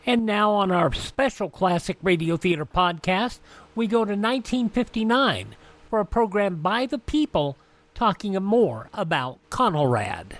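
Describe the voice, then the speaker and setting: A man's voice: sits high, animated, a little slow, fairly bright tone. A man hosting a podcast, introducing old-time radio programs. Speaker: podcast host.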